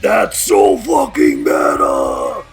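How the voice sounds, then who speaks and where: Male actor as jovial drunken party host, heavy creak, swooping pitch, mock-grand bro speech, audio drama. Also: wildly swooping pitch, very slow, shouting, music in the background, full character voice. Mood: drunk, exuberant. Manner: slurred party-bro talk mixed with mock-archaic flourishes.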